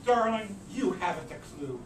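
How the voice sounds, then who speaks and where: A haughty drag queen played by a man, high in pitch, arch and theatrical, scolding like a grand mother hen. The story reading sounds distant, with clear noise over it. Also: very animated, normal speed. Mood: arch, teasing. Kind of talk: dramatised novel reading. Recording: roomy, distant, noisy amateur recording.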